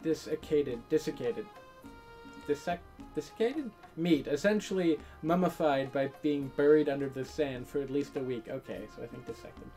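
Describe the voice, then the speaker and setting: Slightly low-voiced woman reading a game aloud. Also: normal speed, mellow, soft background music. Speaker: gaming video host. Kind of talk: casual visual novel read-along and commentary.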